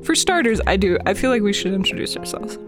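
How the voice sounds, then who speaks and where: A woman's voice: medium pitch, animated, quick pace, loud music behind the voice. A woman in her twenties co-hosting a podcast in casual chat, enthusiastic and emphatic. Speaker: podcast co-host.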